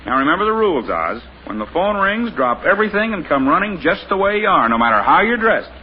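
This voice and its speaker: An American actor playing a hearty, jokey, theatrical neighbor in a 1950s radio comedy. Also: male voice, sits high, sing-song, fast, breathy edge, exaggerated voice. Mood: jovial. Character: hearty, jokey male neighbor, grown man. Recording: muffled, noisy old radio recording.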